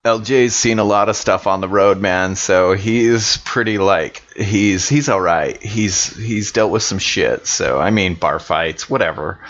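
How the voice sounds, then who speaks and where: A man's voice: sits low, a little slow, hardly any pauses, fairly bright tone, dull audio. A man with a laid-back, slangy way of talking, improvising as a player in a tabletop roleplaying game.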